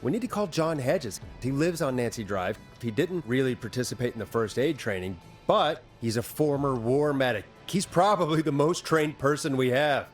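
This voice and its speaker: An actor playing a steady, reassuring but urgent radio host in a horror game drama. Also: male voice, medium pitch, moderate pace, hardly any pauses, faint music behind, natural voice. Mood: informative. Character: calm, earnest male 1980s radio DJ in crisis.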